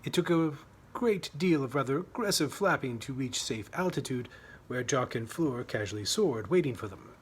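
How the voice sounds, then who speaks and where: A man's voice: mid-range voice, expressive, moderate pace, husky. A man reading his own humorous short story as scripted narration, with a wry, dramatic storytelling manner.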